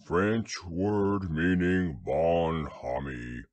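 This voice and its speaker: A man voicing a gloomy, sarcastic old donkey in a storybook reading, with a low, slow, drawn-out voice. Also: very animated, a little mellow, dry recording, heavily acted. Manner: morose, dryly sarcastic.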